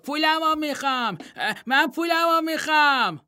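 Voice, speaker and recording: A man voicing a naive, simple-minded young fool in a read-aloud folktale, talking in a childlike, pleading, excitable way. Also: sits high, dry recording, clean studio sound. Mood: shouting. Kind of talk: storybook reading.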